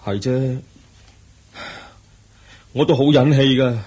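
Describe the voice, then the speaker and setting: A man's voice: medium pitch, slow, mellow, husky. A man with a Hong Kong Cantonese accent playing a brooding young prince disguised as a cook in a radio drama, earnest and plaintive. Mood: frustrated.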